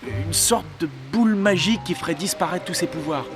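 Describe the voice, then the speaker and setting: An actor playing an earnest, solemn young male hero in an animated fairy tale. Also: a little high, a little fast, close to an everyday voice. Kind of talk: animated cartoon dub. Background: background music.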